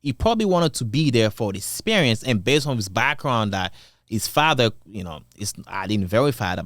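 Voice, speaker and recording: A man's voice: mid-range voice, no echo, clean studio sound. A young man from Congo giving a casual, rambling opinion monologue, full of fillers and restarts. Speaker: channel host.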